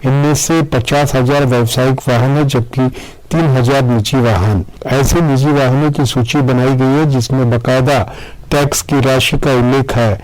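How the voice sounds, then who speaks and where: A man's voice: medium pitch, steady tone, moderate pace, a little mellow, low-quality recording. A man delivering a scripted news report as a radio correspondent.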